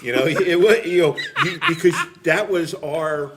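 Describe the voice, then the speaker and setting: A man's voice: fairly high pitch, measured pace, heavy background noise. A middle-aged man, a firefighter, chatting casually as a guest in an emphatic, rambling way. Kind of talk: casual chat.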